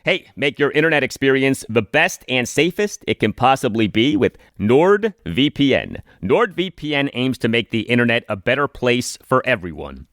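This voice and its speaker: A man hosting a sports podcast, speaking emphatically in commentary and reads, deliberately repeating his phrases. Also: mid-range voice, very animated, fairly bright tone, no room sound, studio quality.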